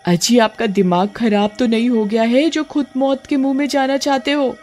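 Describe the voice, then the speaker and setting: A woman voicing a frightened, nagging village wife in an animated horror story, anxious and pleading. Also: fairly high pitch, moderate pace, faint music behind, close to an everyday voice.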